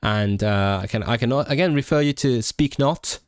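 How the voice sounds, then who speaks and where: A young man from Hong Kong with a mixed British English accent hosts a casual livestream about languages. He talks in a middle pitch and answers viewer questions loosely, with frequent fillers and restarted words. The recording is close and dry, with no room sound. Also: normal speed, clear, resonant tone, no echo. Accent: British English mix. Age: young adult. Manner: hesitant, many restarts and fillers, self-deprecating. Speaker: language channel host; language learner and student. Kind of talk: casual livestream chat reading viewer comments.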